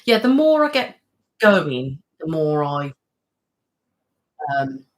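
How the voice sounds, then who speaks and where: A woman's voice: deep voice, big pitch swings, takes it slowly. A woman hosting a casual live stream from home, chatting on at length and answering viewers' comments. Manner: rambling, chatty, waffles on. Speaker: live-streaming channel host.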